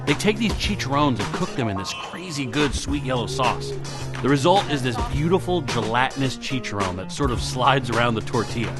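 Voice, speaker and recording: A man's voice: mid-range voice, animated, a little fast, loud music behind the voice. A man hosting a local web series, reading upbeat scripted news and event segments in a playful, tongue-in-cheek way.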